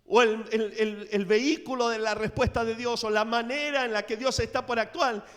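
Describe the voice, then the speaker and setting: Latin american pastor guy preaching, pretty high voice, big echoey church hall. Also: fairly even intonation, moderate pace. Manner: storytelling preacher, dramatic swings in loudness. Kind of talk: sermon. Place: church sanctuary.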